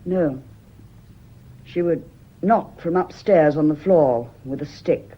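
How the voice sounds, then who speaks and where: A woman's voice: low-pitched, takes it slowly. An actress playing a prim, guarded British lady's companion answering police questions in a radio mystery play. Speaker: radio drama actor. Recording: dull, muffled radio drama recording.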